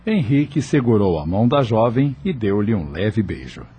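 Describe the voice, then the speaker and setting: A man narrating a radio soap from a script, with a husky voice. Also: on the low side, sing-song, normal speed, dark tone.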